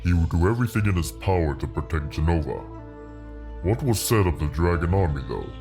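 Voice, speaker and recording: A man voicing a great copper dragon in a fantasy audiobook, grave and loyal, with a rumbling, gravelly voice and slow, weighty delivery. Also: big pitch swings, music in the background. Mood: grave, pondering.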